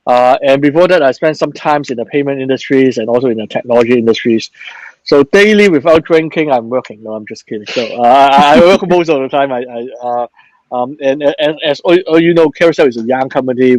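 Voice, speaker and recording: A man speaking as a panelist in an online chat, halting, with many restarts and fillers, and a joking tone. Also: mid-range voice, a little mellow, no echo, poor audio. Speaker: panelist, company managing director. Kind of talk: casual panel talk.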